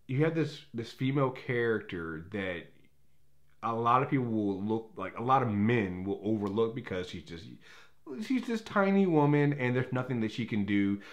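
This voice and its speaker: A man's voice: mid-range voice, fairly bright tone. Guy doing an off the cuff movie review, chatty and opinionated, keeps backing up and rewording stuff. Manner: rambling, opinionated, conversational, often backtracking. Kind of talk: casual unscripted review commentary.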